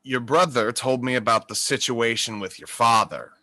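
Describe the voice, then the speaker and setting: A man's voice: mid-range voice, normal speed, sharp tone, dry recording. A man playing a genial, pushy Hollywood producer in a play reading, coaxing like a salesman. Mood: persuasive.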